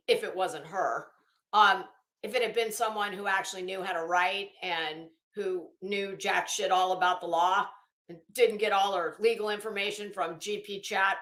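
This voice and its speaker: Blunt, slow-talking woman in an echoey room. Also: medium pitch, fairly bright tone. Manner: blunt, sarcastic, swearing. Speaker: commentary channel host. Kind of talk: casual spoken commentary while reading a legal document.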